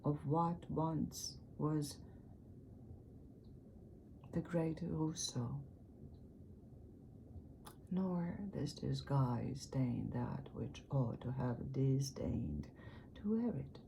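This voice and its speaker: The weary, regretful ghost of an old male philosopher, read by a woman from a long poem. He speaks very slowly in a low, gravelly, dark tone. Parts of his lines drop into a whisper. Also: fairly even intonation, background noise, exaggerated voice.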